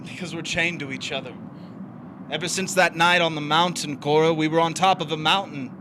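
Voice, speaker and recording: A man's voice: fairly high pitch, fairly even intonation, a little fast, lightly acted. An actor playing a devoted, world-weary man in doomed love, earnest and coaxing, in an old-fashioned melodramatic stage style. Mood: resigned. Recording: noisy live online stage recording.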